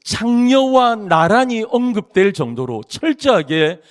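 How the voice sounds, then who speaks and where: A middle-aged man from Busan, South Korea, preaching a sermon as pastor in a church sanctuary, in an animated, jokey way with emphatic rising phrases. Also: high-pitched, a little slow. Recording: long reverb of a large church hall.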